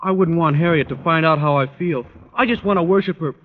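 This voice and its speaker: An actor playing a naive, slangy American high-school athlete, earnest and lovesick, in an old-time radio comedy. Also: male voice, fast talker, close to an everyday voice. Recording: dull old radio recording, hall reverb, hiss.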